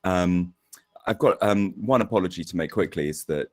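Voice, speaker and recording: Croaky deep-voiced man lecturing. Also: moderate pace. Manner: hesitant, repeats words, self-deprecating. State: hoarse from a cold. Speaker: guest lecturer. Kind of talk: online academic seminar talk. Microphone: video call.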